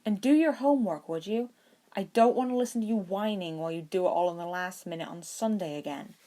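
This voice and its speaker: A woman's voice: mid-range voice, brisk, dark tone, natural voice. A woman voicing a patient but mildly exasperated schoolboy wizard with a weary manner, in a storybook reading. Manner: patient, sighing.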